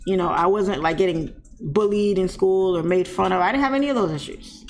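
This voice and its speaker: A woman's voice: fairly low pitch, brisk, a little mellow. A woman with a lisp chatting casually with viewers during a live trading stream, laughing often and talking back to them.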